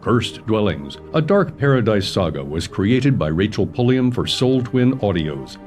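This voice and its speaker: Male actor dramatic trailer announcer, low voice, lively pitch, music underneath. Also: unhurried. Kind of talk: audio drama trailer voice-over.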